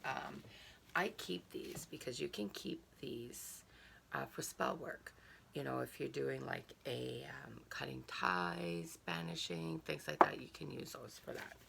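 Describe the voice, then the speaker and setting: A hoarse woman with a gravelly voice, chatting through an unboxing with many fillers and reading a leaflet aloud. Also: rumbling low, lively intonation, measured pace, a bit bright, a little hiss or hum. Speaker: subscription box reviewer. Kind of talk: casual unboxing chat, reading a card aloud.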